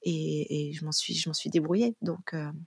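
A woman's voice: low-pitched, normal speed, gravelly, breathy edge, no room sound. A middle-aged woman answering podcast interview questions casually and reflectively, often searching for words and correcting herself. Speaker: interview guest, online French and Turkish teacher.

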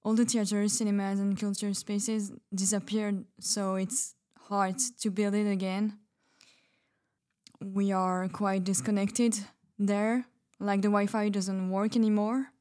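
A woman's voice: mid-range voice, level tone, unhurried, darkish tone, natural voice. A woman playing a shy, disillusioned writer in a fiction podcast, speaking hesitantly. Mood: wistful, hesitant. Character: shy, disillusioned writer who loves poetry. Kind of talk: fiction podcast roleplay as interview.

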